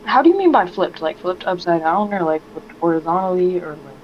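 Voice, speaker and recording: A woman's voice: on the low side, animated, fairly quick. A woman chatting casually as a player in a tabletop game. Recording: dull lo-fi mic, faint noise.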